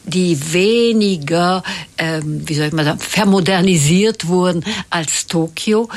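Husky older woman. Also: fairly low pitch. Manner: rambling, many fillers and restarts. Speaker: interview guest. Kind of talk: casual conversational interview.

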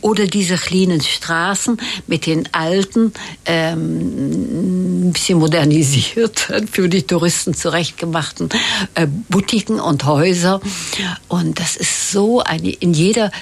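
A woman's voice: fairly low pitch, a little slow, vocal fry, breathy edge. An older woman from Italy, chatting as an interview guest, hesitant with many fillers and restarts. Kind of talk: casual interview chat.

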